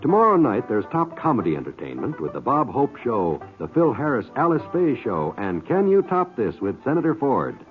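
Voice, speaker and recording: A man's voice: mid-range voice, wildly swooping pitch, normal speed, few pauses, soft background music. An American man reading scripted introductions as a 1950s network radio announcer. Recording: tinny vintage radio broadcast recording.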